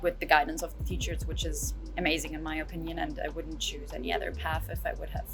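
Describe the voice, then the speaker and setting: A young woman from Austria talks in a chatty, loose way, dropping "like" between her thoughts. Her voice sits slightly low, and music plays under her. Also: level tone, quick pace. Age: 20s. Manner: chatty, many "like" fillers. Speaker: fashion graduate. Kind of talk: recorded interview answers.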